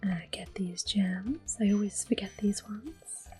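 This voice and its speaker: A woman giving gentle, unhurried ASMR game commentary in a soft, breathy, reassuring voice. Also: medium pitch, soft background music. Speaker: ASMR gameplay host.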